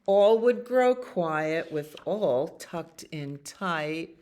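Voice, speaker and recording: A woman reading picture books aloud as a children's library storyteller, expressively, with casual asides about the pictures. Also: low voice. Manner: expressive reading with acted animal sounds and pauses to comment on pictures. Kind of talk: read-aloud bedtime stories with casual asides.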